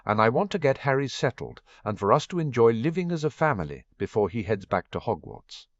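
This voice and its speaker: A man voicing a warm, worried adult man, a protective godfather, in an audiobook reading; he sounds earnest and sighs often. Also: mid-range voice, big pitch swings, a little fast, no room sound, voice pushed up. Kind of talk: storybook dialogue reading. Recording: dull, dry home recording.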